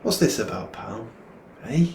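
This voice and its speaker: Man reading as a gentle northern English dad, echoey room. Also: mid-range voice, sing-song, a little slow. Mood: tender, reassuring. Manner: tender, encouraging. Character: gentle, reassuring dad comforting his son. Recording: roomy echo, clear background noise.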